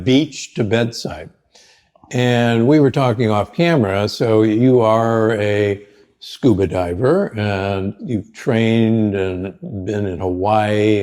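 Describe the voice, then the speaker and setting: Older man, low pitch, mellow tone, relaxed podcast host. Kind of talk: conversational interview hosting.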